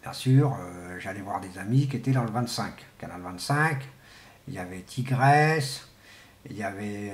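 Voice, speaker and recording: A middle-aged man speaks at a middling pitch in an echoey room. He explains things informally and without a script, like a hobbyist presenter. His delivery is halting and colloquial, and he repeats himself to make a point. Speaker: amateur CB radio enthusiast presenting. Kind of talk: informal unscripted explainer to camera. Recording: echoey, roomy sound.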